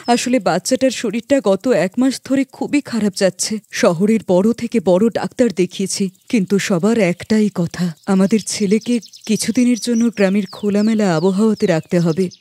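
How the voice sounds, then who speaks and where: A woman's voice: medium pitch, moderate pace, dark tone, a little hiss or hum, close to an everyday voice. A woman voicing a worried young mother and elder sister in a cartoon story, earnest and familiar. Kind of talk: cartoon moral story acting.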